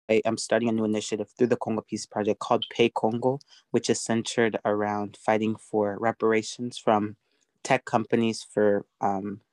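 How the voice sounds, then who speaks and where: A young man from the United States introduces himself as a podcast guest over a video call. He speaks earnestly in a flat, level voice with many small fillers. The sound is dry and close. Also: on the low side, no room sound. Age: young adult. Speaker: guest, youth activist.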